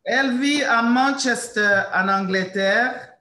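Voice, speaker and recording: A woman hosting an interview, introducing her guest in a slow, hesitant way and slipping into English now and then. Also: fairly high pitch, pushed and bright, echoey room, slight background noise. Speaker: interview host.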